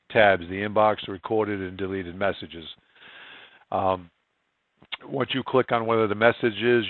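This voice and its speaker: A man with a fairly low voice talks through a software tutorial step by step. His delivery is informal and plain-spoken, with little rise and fall in pitch. The sound is dry and close, with no room around it. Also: dry recording, phone-quality sound. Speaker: software trainer. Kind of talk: screen-share software tutorial narration.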